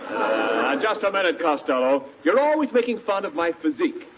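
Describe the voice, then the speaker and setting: An actor playing an affable, easily needled announcer sidekick, speaking in 1940s radio style. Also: male voice, on the high side, very animated, normal speed. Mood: indignant. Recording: muffled, very noisy 1940s radio broadcast.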